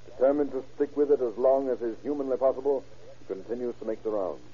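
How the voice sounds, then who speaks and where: A man's voice: mid-range voice, fast talker, dark tone, highs cut off, lightly acted. An American man narrating a crime serial in a scripted, suspenseful old-time radio style. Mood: measured, suspenseful. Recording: thin vintage radio broadcast. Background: music.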